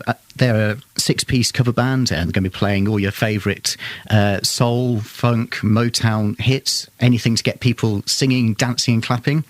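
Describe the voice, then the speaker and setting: A man's voice: medium pitch, no room sound. A man chatting casually as a guest in a radio interview. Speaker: interview guest, amateur band keyboardist. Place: radio studio. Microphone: close studio microphone.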